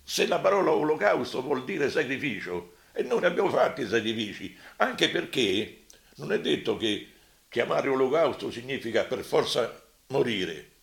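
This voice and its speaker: An elderly man giving spontaneous testimony as a survivor, halting and repetitive but emphatic. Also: medium pitch, big pitch swings, vocal fry, reverberant. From Rome, Italy. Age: elderly, over 80.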